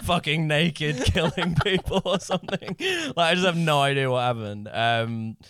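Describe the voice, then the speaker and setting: A man's voice: a little high, moderate pace, fairly bright tone, clear, resonant tone, dry recording, studio quality. A man in his thirties, a comedian guest on a casual podcast, telling wild stories with lots of laughter and swearing. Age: 30s.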